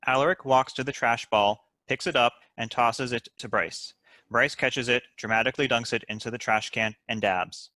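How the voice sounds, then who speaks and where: A man's voice: mid-range voice, fairly even intonation, moderate pace, pushed and bright, no echo. A man reading out stage directions as scripted narration for a screenplay table read.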